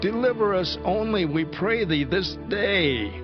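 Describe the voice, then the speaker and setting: A contrite Israelite pleads slowly, his raised voice rising and falling widely. It is imploring scripture drama, slightly dull in sound, with clear music behind it. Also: high-pitched, animated. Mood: pleading, contrite. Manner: imploring, drawn-out. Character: pleading, penitent Israelite man speaking for his people. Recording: dull audio under prominent music.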